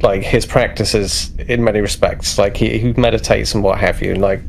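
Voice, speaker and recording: A man playing a tabletop game, casually explaining his character in a halting, hesitant way. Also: lowish voice, fairly even intonation, gravelly. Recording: noisy background.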